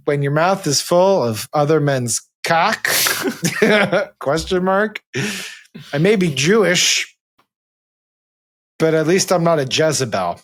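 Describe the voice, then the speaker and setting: A man's voice: a little high, fairly bright tone. A man hosting a casual political chat in an amused, incredulous and sardonic manner. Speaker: host.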